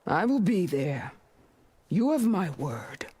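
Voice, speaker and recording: A woman's voice: fairly low pitch, sing-song, warm tone, breathy edge, no echo, exaggerated voice. A woman playing a proud, defiant defeated rebel warrior in a video game, with dramatic, scornful delivery. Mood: grim, solemn. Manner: dramatic, scornful, slow and weighty.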